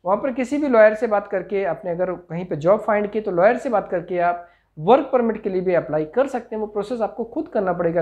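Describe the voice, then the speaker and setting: Indian man explaining in a higher-set voice. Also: a little mellow, lo-fi sound. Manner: Hindi mixed with many English terms, explanatory. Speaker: overseas recruitment consultant. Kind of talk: informational promotional talk to camera. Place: small office. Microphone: clip-on lapel mic.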